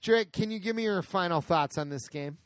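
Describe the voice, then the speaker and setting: A man's voice: high voice, fairly quick. A man co-hosting casual video game commentary, joking and swearing freely in playful banter. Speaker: co-host. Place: indoors, playing video games.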